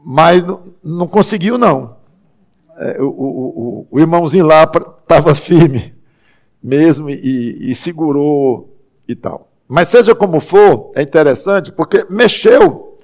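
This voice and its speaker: A man, preaching a conversational sermon as a pastor, halting and restarting often and slowing down for emphasis. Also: a little high, animated, darkish tone.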